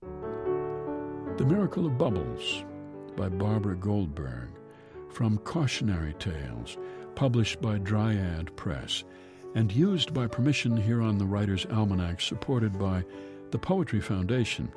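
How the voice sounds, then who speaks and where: A man hosting a radio segment, reading an almanac and a poem in a calm, measured way with slow drawn-out line endings. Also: fairly low pitch, over music. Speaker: radio host.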